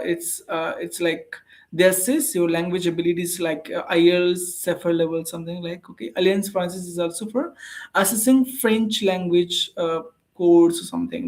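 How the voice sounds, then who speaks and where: An earnest tutor with an Indian English accent, speaking English as a second language after native Telugu. The talk is casual practice conversation, explanatory and patient, with ideas repeated and reworded along the way. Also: medium pitch. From Andhra Pradesh, India.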